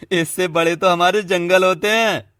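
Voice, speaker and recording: A man voicing a cocky, skeptical crow in an animated moral story, brash and husky. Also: high voice, dry recording, studio quality.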